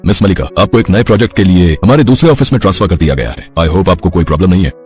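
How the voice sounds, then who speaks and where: A man's voice: deep voice, lively intonation, rapid-fire, darkish tone. A man playing young office men and suitors in a romance story, earnest and dramatic, mixing English phrases into his Hindi. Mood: businesslike. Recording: music behind the voice.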